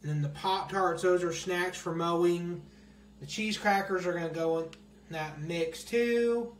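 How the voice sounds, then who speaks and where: A man's voice: high voice, unhurried. A man casually showing his grocery haul item by item, speaking in a plain, matter-of-fact way into a handheld phone camera at home. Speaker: vlogger. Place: small indoor room at home. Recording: echoey room with faint hiss.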